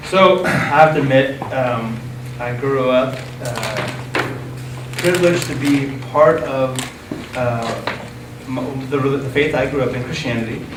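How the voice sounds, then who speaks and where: A man hosting an interview in a relaxed way, with frequent uhs. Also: medium pitch, slow. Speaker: interview host. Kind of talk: conversational interview. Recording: roomy, from a distance, background noise.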